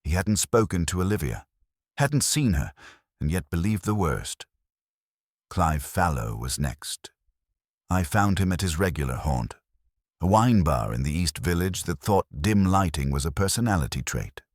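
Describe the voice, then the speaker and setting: A man with an extremely deep voice narrates a detective story. His delivery is wry and measured, with lively swings in pitch. The sound is dry, with very little room in it. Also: no room sound. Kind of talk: scripted first-person detective story narration.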